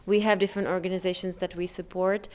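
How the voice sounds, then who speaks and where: A woman's voice: on the low side, little pitch movement, fast. A middle-aged woman with a Czech accent speaking informally to a group, searching for words as she goes. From Czech Republic. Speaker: parent community service representative.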